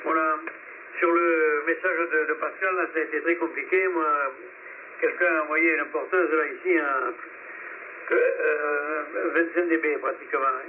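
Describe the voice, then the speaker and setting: A man's voice: on the high side, fairly even intonation, unhurried. A man giving casual signal reports as an amateur radio operator, rambling and correcting himself, heard over a radio transmission. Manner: rambling, hesitant, self-correcting. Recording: narrow, noisy shortwave radio signal.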